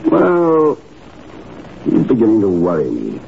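An actor playing a suave, shrewd secret agent in a 1950s radio drama, with a dry, unruffled, probing manner. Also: male voice, on the low side, wildly swooping pitch, slow pace, gravelly, full character voice. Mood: probing, composed. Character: suave, shrewd male secret agent posing as grain buyer. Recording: muffled, noisy old radio broadcast recording.

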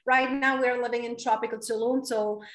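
A woman's voice: a little high, fairly even intonation, normal speed, a bit bright, echoey room, distant mic. A woman in her thirties with a Czech accent, a podcast guest telling her life story candidly and earnestly, often adding "like" and "right?". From Czech Republic.

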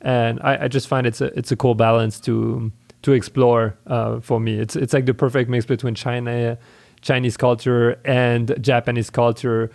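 A man's voice: medium pitch, level tone, moderate pace, creaky, darkish tone. A man hosting a casual interview, friendly and rambling, often restarting his words. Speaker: podcast host.

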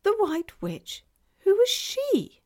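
A woman acts a kind, innocent young girl in a children's tale. Her voice is high and unhurried, with an earnest, wide-eyed and anxious manner. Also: expressive, a little mellow. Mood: curious, shocked. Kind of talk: dramatized children's storybook reading.

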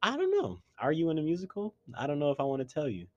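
A young man of about twenty co-hosting a podcast in a studio, talking casually and calling out to his listeners with excitement. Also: on the high side, fast, dry recording, muffled recording. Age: young adult, about 20. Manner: hyped, addresses listeners directly. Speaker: podcast co-host.

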